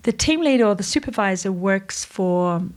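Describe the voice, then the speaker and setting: A woman with an Australian accent and a slightly croaky voice, explaining career changes in a conversational solo video with wry asides. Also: mid-range voice, lively intonation, normal speed, a little mellow. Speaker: educator on careers and AI.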